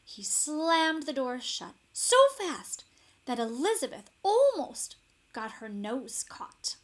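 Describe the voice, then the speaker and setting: A woman narrating a children's story expressively, with deliberate dramatic emphasis. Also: sits high, very animated. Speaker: storybook reader.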